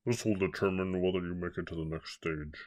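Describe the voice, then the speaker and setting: A man's voice: sits low, steady tone, brisk, darkish tone, close to an everyday voice. A man voicing a blunt, dismissive audition judge in a cartoon, speaking brusquely. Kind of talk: animated cartoon voice acting.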